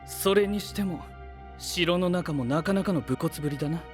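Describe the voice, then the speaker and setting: An actor playing a cool, aloof knight with a dry, curt, haughty manner in an anime-style audio drama. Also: male voice, a little high, moderate pace, faint music behind, close to an everyday voice. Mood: cool, detached.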